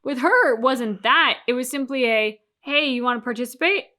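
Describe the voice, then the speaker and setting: A woman's voice: high-pitched, normal speed, pushed and bright. A woman co-hosting a reaction channel, talking through her thoughts casually and emphatically, with plenty of colloquial turns of phrase.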